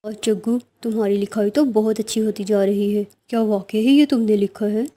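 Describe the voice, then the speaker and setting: A woman playing a strict female bird schoolteacher in a children's cartoon, brisk and authoritative. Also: medium pitch, fairly even intonation, mellow, natural voice. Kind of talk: children's cartoon story acting.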